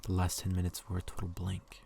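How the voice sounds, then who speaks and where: A man reading a story aloud in a soft ASMR style, hushed and intimate, often slipping into whispers. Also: low-pitched, completely flat delivery, a little fast, gravelly. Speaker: ASMR reader. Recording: faint background noise.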